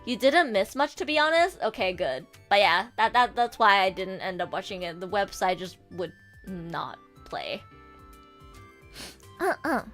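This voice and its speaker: A woman streamer chatting casually with her viewers, animated and swearing freely, her throat a bit sore from a coming cold. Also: medium pitch, unhurried, soft background music. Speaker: VTuber streamer and voice actor. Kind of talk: casual livestream chat.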